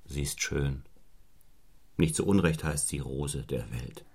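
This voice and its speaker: An actor playing a cold, stern, fiercely ambitious clergyman in a radio play, speaking in a formal, deliberate, rhetorical way. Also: rumbling low, a little mellow, husky, full character voice. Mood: austere, intense. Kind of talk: German radio play acting.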